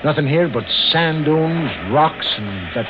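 A man playing a loyal, excitable working-class detective's sidekick in a mid-century radio drama, with a folksy, deferential manner. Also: on the high side, measured pace. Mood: plain, curious. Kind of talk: 1940s-50s radio drama acting. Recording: dull, noisy old radio recording.

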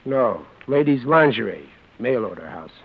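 An actor playing a tough, dim, touchy Brooklyn lug in a 1940s radio drama, slangy and wisecracking. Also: male voice, mid-range voice, expressive, moderate pace, breathy edge. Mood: blunt, wary. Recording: muffled old radio recording.

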